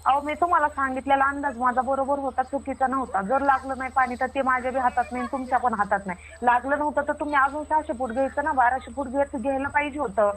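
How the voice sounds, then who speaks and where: A woman's voice: high voice, fairly even intonation, fast, few pauses, a bit bright, slight background noise. A woman playing a stubborn, self-assured water diviner with a rural Maharashtrian Marathi accent, arguing insistently and repeating herself in a comic phone-call skit. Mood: defensive, indignant.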